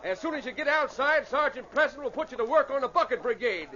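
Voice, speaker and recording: Folksy frontier man, high-pitched, old radio. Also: fast talker, full character voice. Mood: loud, calming. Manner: folksy, calming, take-charge. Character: steady, reassuring frontier man calming a crowd. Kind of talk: old-time radio drama acting. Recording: dull noisy old radio, crowd behind.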